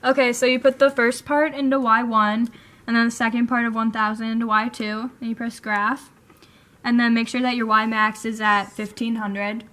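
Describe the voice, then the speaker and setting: Woman, methodical instructions, slightly high, flat. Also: normal speed. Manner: methodical listing of steps. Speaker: student explaining a calculator. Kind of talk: step-by-step instruction.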